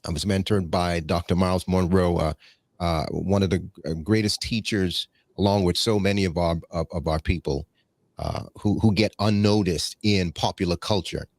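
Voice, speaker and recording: A man's voice: low voice, fairly even intonation, moderate pace, a little mellow, no echo. A man from the Bahamas, a guest in an interview, talking in a reflective, rambling way with many fillers and restarts and a preacherly habit of citing scripture. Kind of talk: casual conversational interview.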